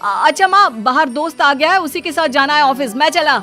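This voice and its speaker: A woman's voice: high voice, lively intonation, fairly quick, bright, forceful tone, faint music behind. A woman voicing an evasive, mild adult son in a cartoon family drama, hesitant and placating. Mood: relieved, hurried. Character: awkward adult son, evasive and mild, caught between women.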